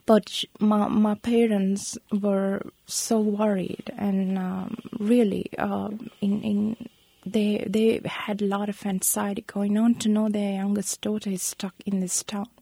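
A Sri woman in her thirties, a guest telling a personal account in an interview, speaking softly and haltingly, with many pauses. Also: medium pitch, no room sound. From Sri Lanka. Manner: soft, halting, breathy delivery with many pauses.

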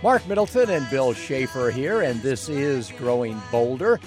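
A man's voice: medium pitch, expressive, measured pace, music in the background. Male co-host reading a script. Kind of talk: scripted presenting and ad read.

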